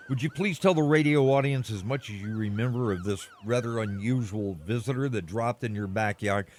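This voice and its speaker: A man's voice: mid-range voice, darkish tone, lightly acted. Man playing a flustered radio reporter over noise. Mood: polite, impatient. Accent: American. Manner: halting, descriptive, searching for words. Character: earnest, flustered on-the-scene radio news reporter. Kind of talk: radio play live news commentary.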